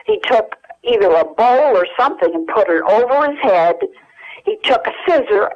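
Older american lady telling old stories, kinda halting, muffled lo-fi phone sound. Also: medium pitch. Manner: halting, anecdotal. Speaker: guest. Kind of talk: casual reminiscing interview. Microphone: telephone. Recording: dull lo-fi telephone hook-up.

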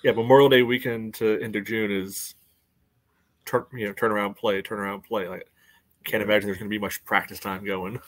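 A man's voice: on the low side, little pitch movement, fairly quick. A man in his thirties co-hosting a casual sports podcast over a video call from a room at home. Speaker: podcast co-host. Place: small room at home, indoors.

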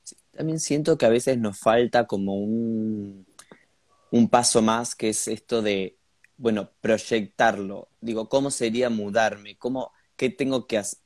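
A man's voice: medium pitch, a little mellow, dry recording. A young man hosting a video call interview, thinking aloud in a conversational way. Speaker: host.

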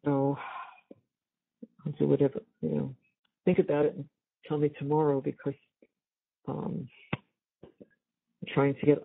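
A woman in her seventies chatting casually on a family phone call, halting and repeating phrases, with a husky, gravelly voice. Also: low-pitched, little pitch movement. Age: 70s. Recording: tinny, band-limited jail phone call.

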